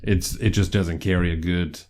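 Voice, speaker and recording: Very deep-voiced young man telling stories. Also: moderate pace. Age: 20s. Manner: animated storytelling, laughs often, swears freely. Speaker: podcast co-host. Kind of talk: casual chat.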